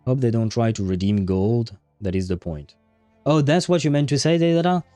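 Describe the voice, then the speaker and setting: A man's voice: mid-range voice, lively intonation, normal speed, mellow, dry recording, soft background music. A man chatting casually on a gaming livestream, rambling and thinking aloud as he goes. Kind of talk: casual livestream chat about a game.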